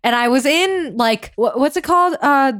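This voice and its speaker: A woman's voice: high voice, lively intonation, moderate pace, a bit bright, dry recording, studio quality. A young adult woman in casual conversation, speaking informally and peppering her sentences with "like". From United States and China. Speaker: musician and singer.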